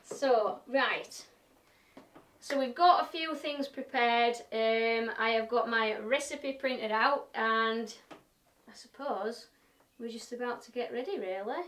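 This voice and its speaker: A woman with a broad Yorkshire accent and a fairly high voice, chatting through a live demo in a cheerful, self-mocking way. She is recorded in an echoey workshop from some way off the mic. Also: slow pace, fairly bright tone, recorded from a distance. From Yorkshire, England. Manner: chatty, self-deprecating, talks through what she is doing. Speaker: woodturner hosting a live demo. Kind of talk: chatty live-stream demonstration. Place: home workshop.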